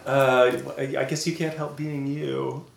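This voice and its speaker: A man's voice: medium pitch, level tone, measured pace, vocal fry, a little hiss or hum. A man from California hosting a podcast, talking casually, rambling and hesitant with a self-deprecating streak. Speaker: podcast host. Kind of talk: casual conversation. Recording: roomy echo.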